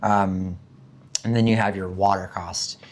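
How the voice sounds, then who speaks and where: A man from the United States with a hoarse voice after being sick, chatting casually and rambling about living costs, with many fillers and restarts. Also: low-pitched, fairly even intonation, a little slow. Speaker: vlogger. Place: home in the Philippines.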